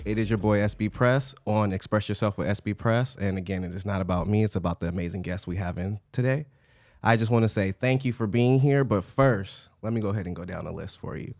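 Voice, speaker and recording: A man's voice: fairly low pitch, fairly quick, a little mellow, dry recording, studio quality. A man hosting a podcast, upbeat and hyping his guest, laughing often. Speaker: podcast host. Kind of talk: casual interview hosting.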